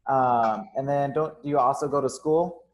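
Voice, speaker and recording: A man's voice: medium pitch, moderate pace. A young man who owns a gym, hosting a casual live interview with frequent ums and uhs. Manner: casual, filler-heavy, upbeat. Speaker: gym owner and host.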